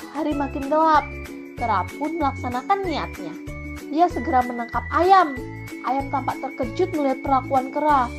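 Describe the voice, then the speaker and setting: A woman narrating a scripted children's fable as an expressive storyteller. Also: sits high. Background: children's background music.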